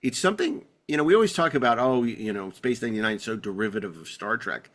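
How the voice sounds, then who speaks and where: A man's voice: mid-range voice, animated, fast talker, a bit bright. Middle-aged American man, rambling enthusiast. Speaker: podcast host. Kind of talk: casual enthusiastic fan chat.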